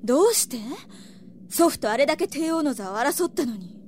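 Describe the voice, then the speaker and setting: An imperious succubus in an audio drama. Her voice sits a bit high. She is sultry and taunting, with a cool, jealous pride. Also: animated, normal speed, slight background noise. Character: proud, seductive adult succubus noblewoman, jealous and imperious. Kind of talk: Japanese audio drama acting.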